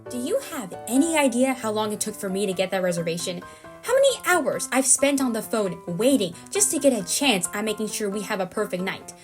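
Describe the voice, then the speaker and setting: A woman's voice: medium pitch, very animated, a little fast, close to an everyday voice. Audio drama role: agitated female marketing director, acted by a woman, emphatic and scolding, music behind. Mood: indignant. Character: agitated, indignant businesswoman, marketing director and girlfriend.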